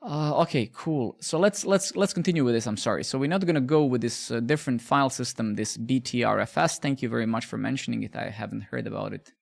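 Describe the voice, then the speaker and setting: A man with a gravelly edge to his voice, talking his viewers through a coding session. He is chatty and relaxed, restarting his phrases as he thinks aloud and answers their questions. His pitch sits mid-range for a man. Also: fast, few pauses. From Bosnia. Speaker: live coding streamer. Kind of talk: casual live-stream chat while coding.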